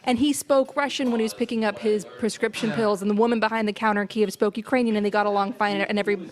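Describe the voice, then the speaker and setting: A woman's voice: mid-range voice, steady tone, quick pace. A woman hosting a political podcast, explaining at length with passionate emphasis. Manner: passionate, emphatic, talks in long run-on explanations. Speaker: podcast host.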